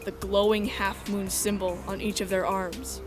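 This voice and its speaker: A woman's voice: mid-range voice, flat delivery, a little slow. A woman reading scripted first-person story narration as the narrator of a dramatic fan dub. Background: music.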